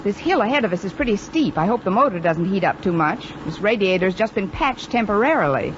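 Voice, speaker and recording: An actress playing a wry, wisecracking single woman with a deadpan, sardonic manner in an old-time radio sitcom. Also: medium pitch, a little fast, close to an everyday voice. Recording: dull, noisy vintage radio recording.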